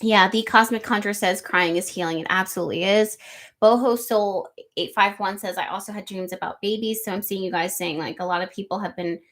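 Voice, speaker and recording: A woman hosting an astrology livestream, reading viewer comments aloud in a loose, rambling chat with a soft, slightly nasal voice, close to the microphone. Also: medium pitch, fairly even intonation, brisk. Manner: chatty, rambling, lots of ums and likes. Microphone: close studio microphone.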